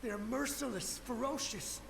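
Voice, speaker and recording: A man's voice: very high voice, normal speed, vocal fry, echoey room, heavy background noise, heavily acted. A man voicing a desperate, loyal samurai ghost in a video game, urgent and fervent. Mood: frantic, resolute. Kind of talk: dramatic video game voice acting.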